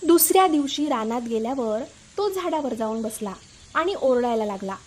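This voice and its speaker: A woman's voice: on the high side, animated, normal speed, a little mellow. A woman hosting and narrating a scripted children's story. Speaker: storyteller, channel host.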